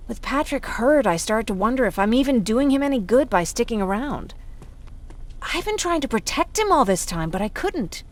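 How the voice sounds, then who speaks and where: A woman's voice: fairly high pitch, sing-song, moderate pace. A woman playing a weary, self-doubting survivor in a video game, confiding in a subdued way. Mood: regretful.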